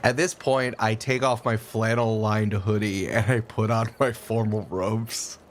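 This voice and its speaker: A man's voice: lowish voice, a little slow, a little hiss or hum. A man riffing jokily and casually as a player in an improvised tabletop roleplaying game. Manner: jokey, animated riffing. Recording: clear background music bed. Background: music.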